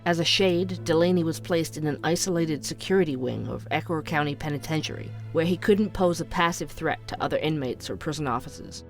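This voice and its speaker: Guy acting as a true crime podcast host, bit higher voice, measured and probing, soft music under it. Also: lightly acted. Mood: grave, suspenseful. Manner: measured, inquisitive.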